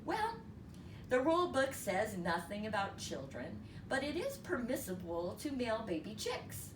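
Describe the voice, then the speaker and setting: A woman's voice: fairly high pitch, sing-song, measured pace. A woman playing a bemused small-town postmaster in a storybook, pondering aloud with dry humor. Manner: mumbling, pondering, dryly humorous. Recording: roomy, distant mic, noisy.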